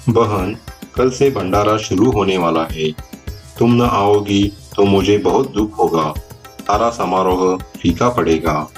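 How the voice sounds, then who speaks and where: A man's voice: on the low side, slow, soft background music. A man voicing a haughty, overbearing rich brother in a cartoon moral story. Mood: ingratiating. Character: haughty rich brother, scornful of his poor sister.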